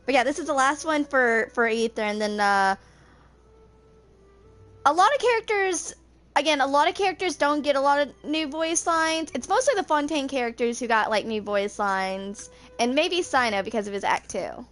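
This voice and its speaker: A woman's voice: sits high, animated, normal speed, fairly bright tone. A woman in her twenties chatting casually to viewers as a streamer, informal and rambling. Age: 20s.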